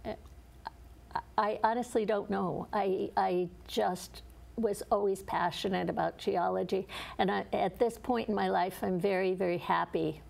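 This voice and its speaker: Middle-aged woman with a gravelly creak. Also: mid-range voice, fairly even intonation, measured pace, a little mellow. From Connecticut, USA. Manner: earnest, with frequent fillers and restarts. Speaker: author and geologist, guest. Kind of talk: interview answers.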